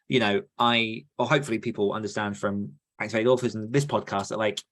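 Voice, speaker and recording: A man's voice: lowish voice, fairly even intonation, fast talker, fairly bright tone. A man hosting a podcast, explaining things earnestly in a casual, conversational way. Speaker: podcast host.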